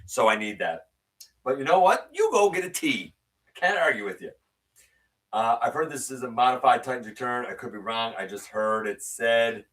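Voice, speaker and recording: A man hosting a live stream, reading viewer comments and answering them in casual, opinionated chat, his voice sometimes a little raspy. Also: fairly bright tone, distant mic, low-quality recording. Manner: opinionated, ranting with rising pitch when worked up.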